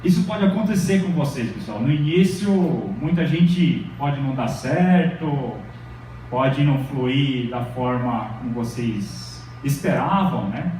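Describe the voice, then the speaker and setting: A man's voice: mid-range voice, lively intonation, measured pace, darkish tone, a little hiss or hum. A middle-aged Brazilian man gives an informal university lecture. He talks in a chatty way and keeps checking in with the audience. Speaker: university professor giving a lecture. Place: large echoey lecture hall. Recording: very echoey hall from far off.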